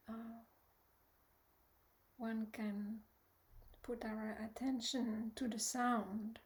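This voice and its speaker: A woman teaching guided meditation, speaking gently and hesitantly with long pauses, her soft voice often trailing into breath. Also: on the high side.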